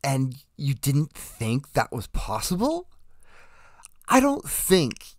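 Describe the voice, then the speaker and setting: A man's voice: mid-range voice, wildly swooping pitch, slow, no echo, studio quality. A man voicing a menacing, scornful fighter character for a demo reel.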